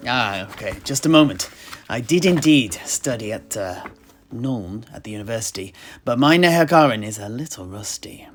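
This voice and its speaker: A man's voice: medium pitch, animated, moderate pace, natural voice. A man playing a genial, bookish tavern keeper and amateur historian who talks in a hesitant, musing, polite way. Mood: intrigued, puzzling. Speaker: host and narrator of a fictional history chat. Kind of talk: in-character lore storytelling, unhurried. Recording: music playing behind the voice. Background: guitar-like instrumental music.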